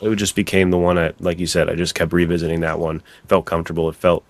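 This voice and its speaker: A man's voice: low voice, little pitch movement, fast, no room sound. A man hosting a podcast in an informal, conversational way, chatting casually. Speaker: podcast host.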